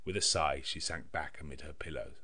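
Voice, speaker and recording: A man reading a gothic novel as an audiobook narrator, in a measured, literary manner. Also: a bass voice, pushed and bright. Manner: measured, literary, diary-like delivery.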